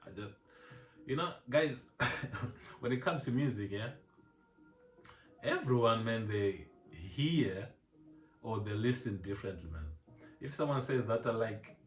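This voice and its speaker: Laid-back Zimbabwean-born man, deep voice, echoey room. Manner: laid-back, rambling, laughs often, says "man" a lot. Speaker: music vlog host. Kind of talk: casual chat.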